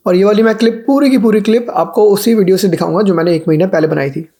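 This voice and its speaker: A man's voice: high-pitched, quick pace, noisy background. A man with an Indian accent hosting a channel, giving casual news commentary and slipping between two languages as he explains. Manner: code-switches between Hindi and English. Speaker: vlogger, channel host.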